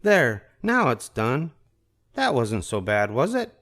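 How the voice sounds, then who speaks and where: A man voicing a kind, reassuring young female nurse in a children's storybook, with a gentle, coaxing manner. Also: mid-range voice, very animated, slow pace, pitched above the performer's own voice, full character voice. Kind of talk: children's storybook read-aloud.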